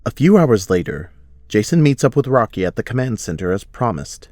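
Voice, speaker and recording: A man's voice: mid-range voice, big pitch swings, brisk, darkish tone, no echo, studio quality. A man reading scripted narration for an audio drama.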